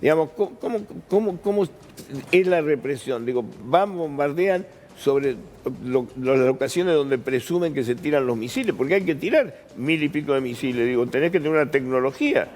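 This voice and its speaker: A man's voice: a little high, a little fast, darkish tone, a little hiss or hum. A man with an Argentine accent, a news presenter improvising questions and repeating words as he forms them.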